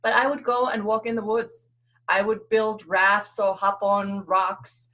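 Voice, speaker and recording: A woman's voice: mid-range voice, flat delivery, unhurried, a bit bright. A woman from Finland, a native Finnish speaker, talking as a podcast guest in a reflective, conversational storytelling style with an earnest, lightly laughing manner.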